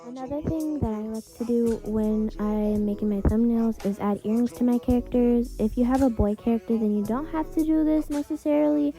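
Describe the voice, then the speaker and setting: A woman's voice: a little high, a little fast, few pauses, low-quality recording. A woman giving a casual, chatty step-by-step art tutorial voiceover, speaking directly to her viewers. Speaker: tutorial host, content creator. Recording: soft music behind the voice. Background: background music.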